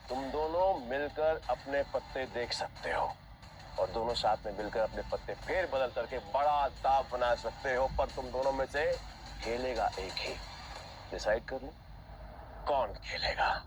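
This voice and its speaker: An actor playing a swaggering, taunting gambler villain in an audio drama, talking in mocking slang. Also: male voice, medium pitch, lively intonation, moderate pace, natural voice. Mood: cocky, taunting. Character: cocky, taunting male gambler villain, destructive. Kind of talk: Hindi audio drama acting. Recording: music behind the voice.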